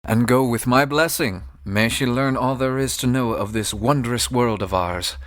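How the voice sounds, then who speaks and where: A man plays a benevolent, genial duke in period audio drama. His voice is medium in pitch, with formal, stately storytelling. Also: normal speed, lightly acted. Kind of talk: period stage-play audio drama acting.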